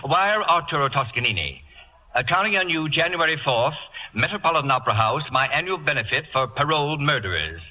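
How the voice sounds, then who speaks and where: An actor playing a pompous, acid-tongued celebrity houseguest with grandiose, biting delivery in a radio comedy. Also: male voice, on the high side. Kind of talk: 1940s radio comedy acting. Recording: noisy vintage radio recording with clicks.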